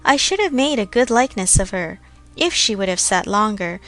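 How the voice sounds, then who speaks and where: A woman's voice: mid-range voice, big pitch swings, moderate pace, a bit bright, soft background music. A woman voicing a genteel, chatty, self-satisfied young lady of Regency society in a storybook reading. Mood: chatty, fond.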